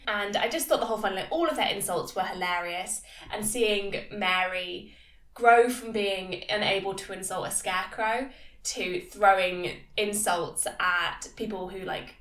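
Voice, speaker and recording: A woman's voice: medium pitch, fairly bright tone. A woman with a slightly nasal voice from a cold, chattily giving a casual episode review as a video host. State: has a cold. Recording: echoey room, distant microphone.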